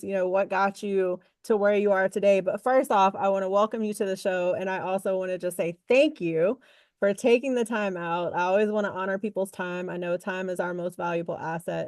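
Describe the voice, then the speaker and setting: A woman's voice: medium pitch, a little mellow, dry recording. A woman hosting a podcast interview, talking in an upbeat, chatty way with frequent laughs and fillers. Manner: upbeat and chatty, laughs often, lots of fillers like "you know". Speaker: podcast host. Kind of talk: casual interview chat.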